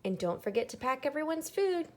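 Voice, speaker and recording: A woman's voice: sits high, very animated, a little fast, darkish tone, slight background noise, voice pushed up. A woman voicing God in a children's storybook as a kindly, commanding figure, emphatic and caring. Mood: reassuring.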